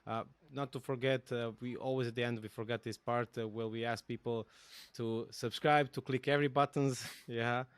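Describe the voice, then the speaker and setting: A man's voice: medium pitch, steady tone, normal speed, hardly any pauses, smooth, no room sound. Serbian-accented male host, dry close sound. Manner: rambling, thinking aloud with fillers. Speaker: podcast host. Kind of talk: conversational interview.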